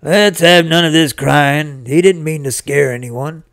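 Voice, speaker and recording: A narrator voicing a calm, steady farm father in a storybook, measured and gently authoritative. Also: mid-range voice, dry recording, studio quality. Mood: calm, reassuring. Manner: measured, reassuring.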